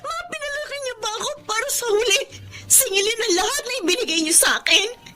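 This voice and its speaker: A very high, bright young woman played by an actress as a dutiful but resentful eldest daughter in a Filipino melodrama. Her delivery is emotional and swings widely in pitch, with music behind it. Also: animated, fast talker, full character voice. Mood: pleading, indignant. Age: young adult. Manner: emotional, reproachful, pleading.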